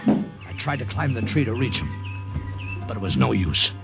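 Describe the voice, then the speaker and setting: An actor playing an excitable, slangy American airman who tells his war story in a dramatic old radio thriller style. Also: medium pitch, gravelly. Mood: grim narration. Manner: dramatic, slangy, halting under strain. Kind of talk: 1940s-50s radio drama narration and acting. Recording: old-time radio recording with music.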